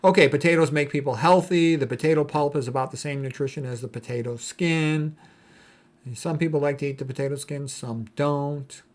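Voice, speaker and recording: A man's voice: a little high, fairly even intonation, a little fast. A man giving informal explanatory commentary as a video host, in a blunt, opinionated, colloquial way with short asides and checking tags.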